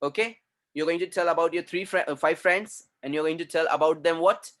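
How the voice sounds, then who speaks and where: A man's voice: on the high side, a little fast, fairly bright tone. Man teaching an online English class. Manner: repeats instructions, corrects pronunciation.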